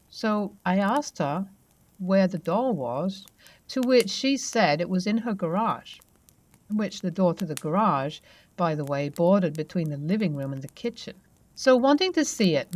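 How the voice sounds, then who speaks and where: A young woman with a fairly low voice narrates a true ghost story from her own childhood. She tells it steadily in the first person and lets small fillers slip in along the way.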